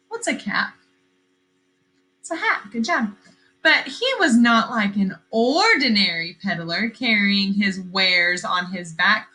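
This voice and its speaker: Woman primary teacher, read-aloud style: unhurried, bright, slightly high, explanatory. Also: rough recording. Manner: explanatory, questioning, carefully enunciated. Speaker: teacher reading a picture book aloud. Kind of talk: read-aloud lesson for young children.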